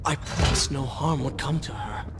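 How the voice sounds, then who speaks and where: An actor playing a brooding, remorseful young warrior prince in a video game, speaking with grave, earnest drama. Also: male voice, mid-range voice, moderate pace, noisy background, lightly acted.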